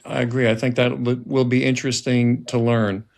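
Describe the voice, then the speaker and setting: A man's voice: mid-range voice, level tone, moderate pace, vocal fry, darkish tone. A man hosting a podcast, asking questions in a conversational interview style. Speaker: podcast host.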